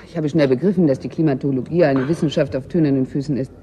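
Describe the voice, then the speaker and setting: A female student scientist in a German radio drama, her voice mellow and soft-edged. She sounds earnest and reflective with a gentle irony, and some noisy ambience sits behind her. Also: very deep voice, fairly even intonation, quick pace. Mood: reflective, weary. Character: female climatology student, new assistant, earnest and gently ironic. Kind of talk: German radio drama acting, naturalistic dialogue.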